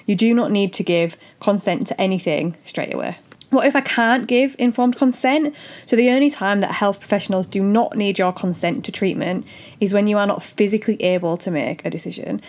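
A woman with a husky, fading voice, hosting an educational podcast and explaining things earnestly, step by step. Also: medium pitch, brisk, darkish tone. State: husky voice after illness. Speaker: podcast host and doula. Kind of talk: solo educational podcast talk.

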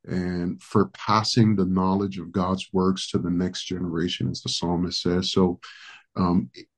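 A middle-aged man from the Dominican Republic, a pastor whose first language is Spanish, speaking earnestly as an interview guest with many pauses and fillers. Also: low voice, a little mellow, slightly rough. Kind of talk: interview guest, reflective storytelling.

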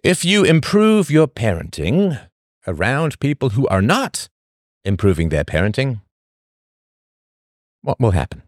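A man hosting a podcast, reading a scripted monologue full of rhetorical questions and emphatic pauses. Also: mid-range voice, sing-song, no echo, clean studio sound. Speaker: podcast host.

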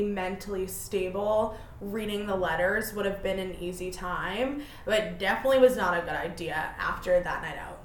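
A woman's voice: medium pitch, slight background noise. Chatty self-deprecating woman in an echoey room. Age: 20s. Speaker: podcast host. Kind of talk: casual solo podcast chat.

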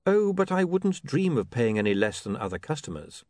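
A man's voice: mid-range voice, very animated, moderate pace, a little mellow, dry recording, close to an everyday voice. A man voicing an urbane older city ruler in an audiobook, dry, formal and politely menacing. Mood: polite amusement. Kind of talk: audiobook character voice.

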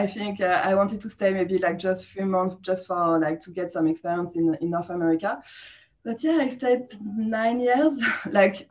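Woman, 30s, French accent, relaxed chatty guest, medium pitch. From France. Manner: chatty, laughs often, many fillers. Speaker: podcast guest. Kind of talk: casual interview chat.

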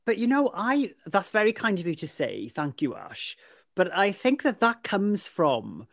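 A man's voice: high voice, huge pitch swings, measured pace, gravelly, fairly bright tone, no echo, studio quality. A man with a Welsh accent whose first language is Welsh, recounting his career as a guest in a casual interview, rambling with lots of "you know" fillers. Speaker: interview guest, weather presenter.

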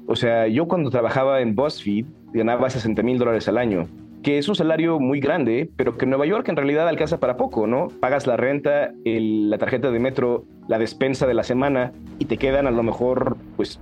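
A man's voice: mid-range voice, darkish tone. A Mexican man in his thirties with a Mexican accent, speaking candidly and animatedly as an interview guest, often ending points with a questioning tag. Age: 30s. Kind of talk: reflective conversational interview.

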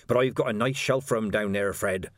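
A man's voice: mid-range voice, animated, normal speed, fairly bright tone, no echo, clean studio sound, pitched above the performer's own voice. A man voicing a morbid, sulky, pleading gravedigger in an audiobook. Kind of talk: audiobook character voice.

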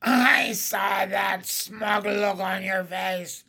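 A shrill old hag of a witch, played by a male narrator for a storybook. She is vengeful and taunting, dragging out her words in a high, sharp voice. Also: steady tone, creaky, husky, exaggerated voice. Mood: scornful. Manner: slow, drawn-out, taunting.

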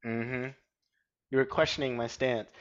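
A man's voice: mid-range voice, steady tone, unhurried, lo-fi sound. A young man co-hosting a podcast in casual banter, teasing, slangy and mock-combative. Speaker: podcast co-host.

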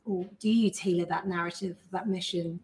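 A woman's voice: lowish voice, fairly even intonation, moderate pace, a little mellow. A woman acting as interviewer, putting conversational questions to her guests on a panel.

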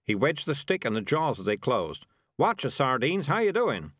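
Man playing a flustered, animated talking cat. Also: mid-range voice, normal speed, no echo, clean studio sound. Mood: urgent. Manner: wheedling, flustered bluffing. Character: sly, fast-talking male talking cat.

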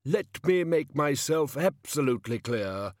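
A man's voice: mid-range voice, expressive, measured pace, darkish tone, no room sound, studio quality, pitched above the performer's own voice, natural voice. A man voicing a hearty, overbearing storybook king with pompous, jovial upper-class bluster. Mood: sharp, insistent. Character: hearty, overbearing king, forcing his charity.

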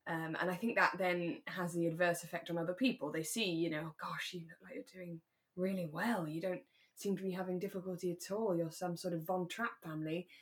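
Female co-host for a casual review podcast; lowish voice, conversational, inclined to explain. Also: fairly even intonation, a little fast. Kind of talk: casual chat reviewing a radio drama.

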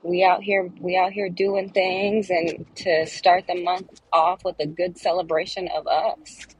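A woman's voice: lowish voice, flat delivery, unhurried, breathy edge, poor audio. A woman co-hosting a casual podcast chat, tired from hospital work, laid-back and slangy. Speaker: podcast co-host, hospital worker.

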